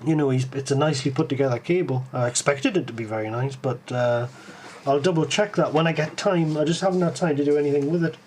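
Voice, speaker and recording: A man's voice: fairly high pitch, fast talker, few pauses, darkish tone, a little hiss or hum. A man with a Geordie accent hosting a live tech stream, musing aloud with lots of ums and restarts as he answers viewers. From Tyneside, England.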